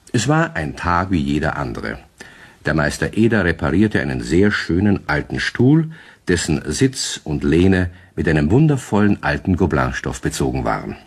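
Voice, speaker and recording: A man's voice: deep voice, slightly rough, heavily acted. An actor as the avuncular narrator of a children's radio play, chatty and teasing in his storytelling.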